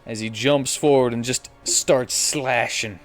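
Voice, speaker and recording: A man's voice: mid-range voice, steady tone, slow pace. A man running a tabletop role-playing game as game master, narrating the action in a playful way. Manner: acts out combat with playful sound effects. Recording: music playing behind the voice. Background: background music.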